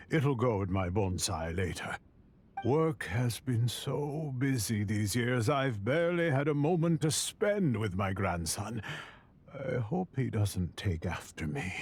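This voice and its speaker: A kindly older grandfather in a fantasy game. His husky voice is unhurried and weary, with a tender, musing manner. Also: mid-range voice, a little mellow. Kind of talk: scripted game cutscene dialogue.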